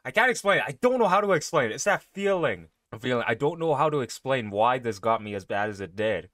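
A young man giving casual, expressive reaction commentary, exclamatory and quick to swear. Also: medium pitch. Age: young adult. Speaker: reaction video host. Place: small room at home.

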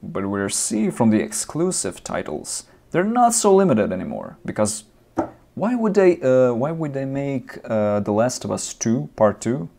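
A man's voice: mid-range voice, big pitch swings, unhurried, vocal fry, mellow. A young man, not a native English speaker, ranting in a casual gaming vlog with lots of restarts and fillers, recorded on a webcam in a small room. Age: young adult. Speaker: gaming vlogger. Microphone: webcam at desk, close framing.

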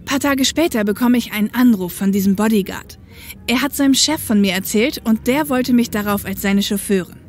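A woman's voice: a little high, a little fast, lightly acted. A woman playing a tough, upright, street-wise policewoman in an audio drama, speaking matter-of-factly. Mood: reminiscing. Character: tough, upright working-class policewoman and ex-biker, grown woman. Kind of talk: German audio drama acting.

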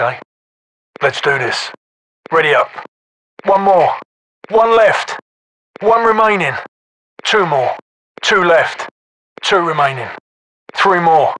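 An actor playing a tough, commanding British special forces soldier in a video game, giving short, curt military callouts. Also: male voice, on the high side, pushed and bright, rough recording. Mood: frustrated, then brisk, commanding.

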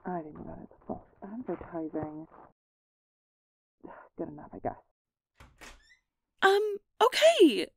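A woman's voice: lowish voice, huge pitch swings, slow pace, creaky, pauses often, slight background noise, heavily acted, rough recording. A woman playing an awkward, guarded but secretly tender young changeling in an ASMR roleplay, hesitant and stammering as she downplays things. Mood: flustered, nervous.

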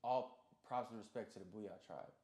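Young guy rapper chatting in an interview, lowish voice, slangy n proud, bit of room echo. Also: moderate pace, warm tone. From Hawaiian, Pacific Islander background. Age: young adult. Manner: emphatic, proud, swearing freely. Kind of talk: casual slangy interview chat. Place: small music studio, indoors. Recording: roomy, slightly echoey sound.

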